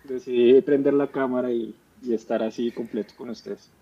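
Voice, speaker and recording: A man with a swollen mouth after dental surgery, answering as a guest in a halting way with repeated words. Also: mid-range voice, steady tone, dull audio, crowd noise. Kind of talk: casual interview answers.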